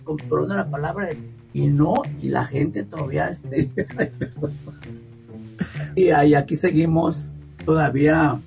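Creaky-voiced middle-aged Mexican man over music. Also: mid-range voice. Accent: southern Mexican, Guerrero coast. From Costa Chica, Guerrero, Mexico. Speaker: interview guest, hairstylist. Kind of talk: casual personal storytelling.

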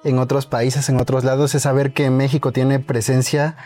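Violinist guy, calm and fluent, bit of music in the background. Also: medium pitch, normal speed. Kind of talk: interview answers.

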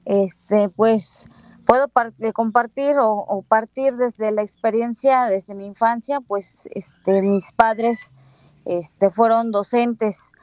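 A woman's voice: a little high, steady tone, slow pace, a little mellow, dry recording, highs cut off. A Mexican woman schoolteacher in her thirties with a Mexican Spanish accent, telling her story in an interview with many fillers and restarts. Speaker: bilingual schoolteacher.

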